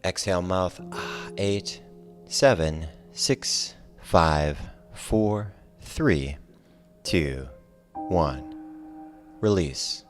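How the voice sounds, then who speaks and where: A man teaching a guided relaxation yoga class, giving calm, soothing, measured cues over soft music. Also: low voice. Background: soft guitar music.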